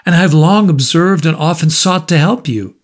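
A man's voice: on the high side, normal speed, lightly acted. A man voicing a polite, composed supernatural visitor in a storybook reading, speaking with pleasant formality and cool firmness. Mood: calm, knowing.

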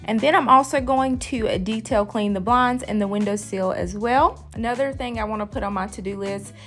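A woman's voice: fairly high pitch, brisk. Casual woman vlogger over music. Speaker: vlogger, host of a cleaning channel.

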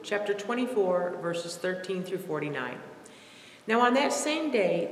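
Female scripture reader, mature, careful expressive delivery, lowish pitch, long church reverb with faint background noise. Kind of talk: reading scripture aloud from a page. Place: large empty church sanctuary, indoors.

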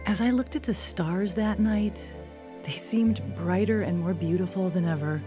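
Young woman an actress, lower pitch, troubled, earnest, confessional, dramatized testimony, music bed. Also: moderate pace, natural voice. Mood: reflective. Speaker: narrator and lead actor in audio drama. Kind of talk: first-person dramatized testimony narration. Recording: music bed under studio voice.

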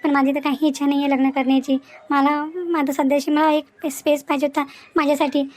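A woman voices a worried young woman in a cartoon family story. Her voice is high and her talk runs quickly, plaintive and confiding. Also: flat delivery, a little mellow, lightly acted. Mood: troubled. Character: anxious young woman confiding about unwanted marriage. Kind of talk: Marathi animated family drama.

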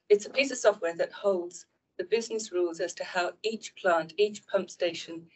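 A woman with a New Zealand accent, a manager, explaining a technical system to a council in a quiet, measured way. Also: on the low side, breathy edge, poor audio. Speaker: asset information manager. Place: large council meeting room.

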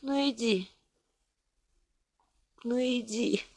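A tender young woman, achingly slow. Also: on the high side, vocal fry, a little mellow, exaggerated voice. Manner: slow, pleading, with repeated phrases. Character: tender, heartsick young woman on her wedding eve. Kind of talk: Soviet radio play acting.

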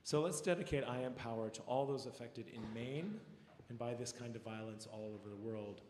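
A man's voice: mid-range voice, fairly even intonation, brisk, darkish tone. Middle-aged male choir director with a cold, informal and encouraging, handheld mic in a big reverberant hall, faint room noise. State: has a cold, voice a little worn. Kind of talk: concert announcements to an audience. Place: large recital hall stage. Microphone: handheld mic heard from a far camera. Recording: echoey hall from far off.

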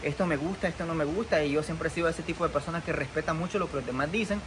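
A man's voice: fairly high pitch, rapid-fire. A young man, a content creator, talking to the camera outdoors beside a muddy rural road, earnest and defensive, with many fillers and restarts. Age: young adult. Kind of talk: vlog to camera. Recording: outdoor noise behind. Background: outdoor ambience.

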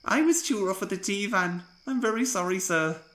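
A man voicing a young, eager, over-excited little engine who talks in a bubbly, enthusiastic way. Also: high-pitched, normal speed, reverberant, pitched above the performer's own voice. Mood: apologetic.

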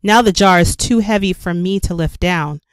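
A woman reading a children's story aloud as a storyteller, with careful, expressive narration for young children. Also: lowish voice, normal speed, clear, resonant tone, no echo. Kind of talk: scripted read-aloud narration.

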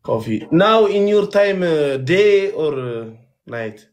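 A boastful young man from Ethiopia with an Ethiopian accent, talking loudly in halting English into his phone from a small room. His voice sits high for a man and swings wildly up and down. His native Amharic shows through. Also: lively intonation. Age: 20s. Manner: loud, boastful, broken English with many hesitations. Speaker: livestream battle guest. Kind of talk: boisterous livestream banter. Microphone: phone selfie camera.

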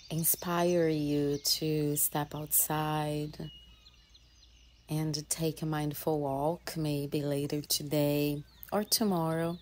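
A woman with a low voice guides a relaxation practice as a mindfulness teacher. She speaks extremely slowly and gently, leaving long pauses between short phrases that trail into soft breath. Faint birdsong and nature ambience sit behind her voice. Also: a little hiss or hum. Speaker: yoga and mindfulness teacher leading a guided meditation. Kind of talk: guided meditation.

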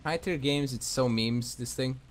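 A man with a mid-pitched voice chats casually through a game with a friend. He banters, swears freely and calls out what he sees, with clear game noise in the background. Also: measured pace. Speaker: gamer playing with a friend. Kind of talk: casual gaming chat, callouts.

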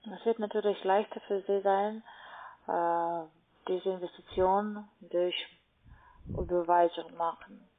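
A woman speaking as a non-native, working as a call centre agent, giving step-by-step instructions in halting phrases over the telephone. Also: on the low side, steady tone. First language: not German. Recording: muffled narrowband phone line.

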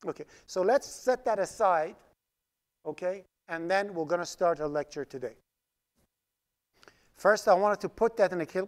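A man's voice: high-pitched, a little mellow. A man whose first language is Farsi, teaching a programming class while typing code on screen and checking in with "right?" as he explains. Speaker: programming instructor. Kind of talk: live coding lecture. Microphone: screen recording with voice-over mic.

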